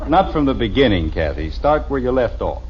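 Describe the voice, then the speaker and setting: A man's voice: mid-range voice, sing-song, normal speed, natural voice. An actor playing a grumpy, sarcastic suburban father who interrupts in exasperation, in the style of an old radio family comedy. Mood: wry, grudging. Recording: noisy, muffled old radio recording.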